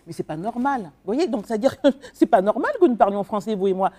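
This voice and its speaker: A woman's voice: medium pitch, lively intonation, fast, mellow. A woman from the Republic of the Congo, speaking as an author and guest in a radio interview, earnest and emphatic, with frequent hesitations.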